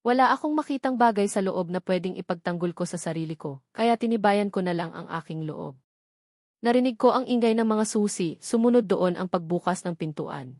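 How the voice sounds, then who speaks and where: A woman's voice: mid-range voice, lively intonation, a little fast, a little mellow, no echo, studio quality. A woman reading a dramatic first-person story as a scripted narrator. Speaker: narrator of a romance novel.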